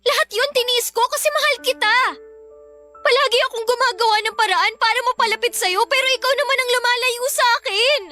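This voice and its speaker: A woman's voice: extremely high pitch, fairly quick, music in the background. A woman playing a hurt, affectionate younger sister in a Filipino radio drama, pleading earnestly with a Filipino accent. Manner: plaintive, earnest.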